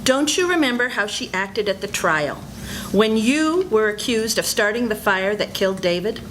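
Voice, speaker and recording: A woman's voice: medium pitch, lively intonation, normal speed, fairly bright tone, a little hiss or hum, lightly acted, poor audio. An older woman playing a nervous, suspicious friend in an amateur old-time radio melodrama, speaking urgently and fearfully.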